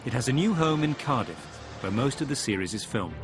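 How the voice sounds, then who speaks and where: A man narrating a television documentary in a measured voice-over. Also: medium pitch, animated, music in the background.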